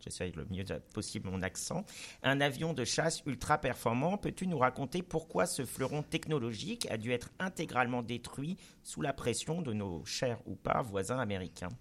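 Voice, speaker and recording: A man's voice: medium pitch, expressive, normal speed, hardly any pauses, a bit bright. A man hosting a panel interview, moving between prepared questions and short conversational reactions. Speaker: host and moderator of a panel interview.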